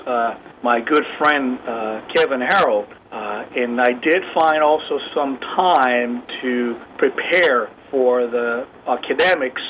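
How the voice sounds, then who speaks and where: A man from Puerto Rico with a Puerto Rican Spanish accent, a native Spanish speaker, talking earnestly as a guest on a radio show, halting often with fillers. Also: medium pitch, fairly bright tone, slight background noise, rough recording. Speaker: guest apologist. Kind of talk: radio interview.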